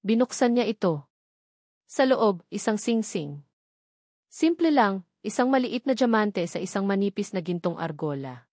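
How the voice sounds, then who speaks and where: A woman's voice: medium pitch, big pitch swings, moderate pace, pauses often, darkish tone, dry recording. Filipino woman narrating, close and dry. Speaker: audiobook narrator. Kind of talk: dramatic scripted story narration.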